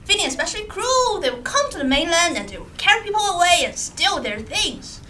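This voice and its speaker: A woman's voice: very high voice, sing-song, fairly quick, sharp tone. A woman narrating a scripted story live as a puppet-show storyteller. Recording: echoey room, distant mic, noisy.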